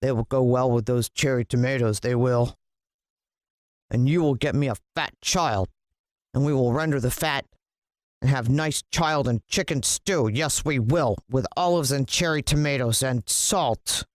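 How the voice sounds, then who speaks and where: A woman's voice: rumbling low, unhurried, no room sound, clean studio sound. A woman voicing a gluttonous, cruel bearded man in a children's storybook, blustering and demanding as he bellows and mutters. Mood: scheming, gleeful.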